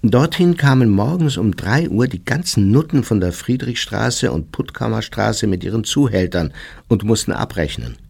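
An actor playing an older, unrepentant and boastful German ex-officer, reminiscing huskily in a documentary radio drama monologue. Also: male voice, lowish voice, lively intonation, moderate pace, gravelly, darkish tone, husky, heavily acted. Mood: relishing anecdote. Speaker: actor reading a war criminal's recollections.

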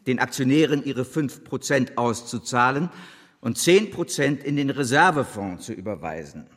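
Actor as husky self-assured bank chief, big reverb. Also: male voice, medium pitch, lightly acted. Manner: formal, declamatory, emphatic. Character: male bank chief addressing shareholders, self-assured, impatient. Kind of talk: German radio drama acting. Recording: long hall reverb.

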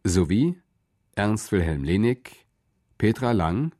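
A male announcer reads radio play credits. His voice is very low, and the delivery is scripted and formal. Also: lively intonation, slow pace, mellow.

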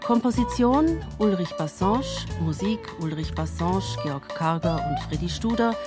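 A woman's voice: on the low side, animated, measured pace. A woman announcer reading scripted credits for a radio production. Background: guitar music.

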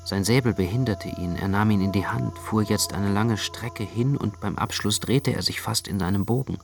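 A man's voice: on the low side, normal speed, gravelly, over music. A man narrating a radio play in the first person as an anxious, brooding literary storyteller.

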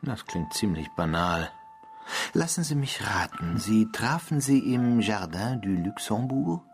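A male actor in a German radio drama playing an irritated, defensive man with a husky voice, answering curtly and sarcastically. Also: fairly low pitch, animated, measured pace, faint music behind. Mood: dry, sceptical. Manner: exasperated, curt, sarcastic. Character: irritated, defensive married man pestered by a stranger.

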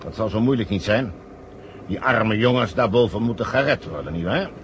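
An actor playing a sly, smug conspirator who calmly gives orders in a vintage radio play. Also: male voice, lowish voice, moderate pace, dull audio, a little hiss or hum, natural voice. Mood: commanding, smooth. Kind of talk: 1970 radio play acting.

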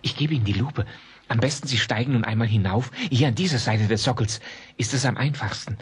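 An actor playing a proud, effusive provincial antiquarian in middle age, florid and courteous, in a German radio play. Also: male voice, medium pitch, a little fast, breathy edge. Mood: rapt, admiring.

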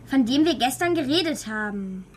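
A woman's voice: high voice, expressive, moderate pace, slight background noise. A female performer playing an earnest schoolgirl daughter, persistent and chiding, in a children's radio play. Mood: insistent. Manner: matter-of-fact, persistent, chiding.

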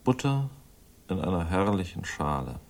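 An actor in a 1960s crime radio play, playing a cynical, guilty husband of an heiress with a measured, formal, self-mocking manner. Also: male voice, low voice, big pitch swings, creaky, heavily acted.

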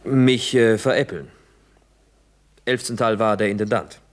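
An actor playing an excitable, sardonic playwright in a comedic radio play, theatrical, emphatic and dryly ironic. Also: male voice, lowish voice, a little slow, lightly acted. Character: exasperated, sardonic male playwright, excitable and bossy.

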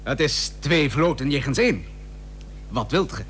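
Man playing animated, haughty admiralty gentleman. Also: mid-range voice, moderate pace, natural voice. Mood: formal, pressing. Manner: formal, pressing, questioning. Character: haughty, argumentative admiralty gentleman defending thrifty plans. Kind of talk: historical radio drama acting. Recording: noisy old radio recording with hum.

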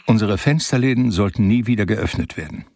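An actor playing a blind, reflective younger brother who narrates his reclusive life in a German radio drama, measured and wry. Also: fairly low pitch, a little mellow, lightly acted. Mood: melancholy.

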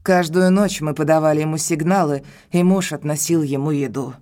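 A woman's voice: low-pitched, fairly even intonation, a little fast, mellow, natural voice. An actress playing a middle-aged, earnest and distraught housekeeper pleading for her family in an audio drama. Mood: sorrowful. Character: stout frightened housekeeper, loyal, pleading, sorrowful older sister. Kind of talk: Russian audio drama acting.

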